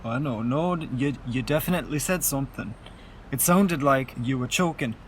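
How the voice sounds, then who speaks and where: A man's voice: medium pitch, moderate pace, a little hiss or hum, natural voice. A man playing a wry, stubborn mourner. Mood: teasing, prodding. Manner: dry, teasing, sardonic. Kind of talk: radio play dialogue.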